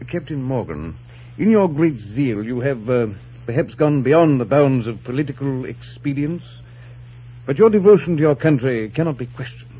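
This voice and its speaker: An actor playing a shrewd, amused English king in a 1940s radio drama, speaking with deliberate, teasing pomp and dry pauses. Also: medium pitch, mellow, slightly rough, heavily acted. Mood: stately. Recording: muffled old 1940s radio broadcast.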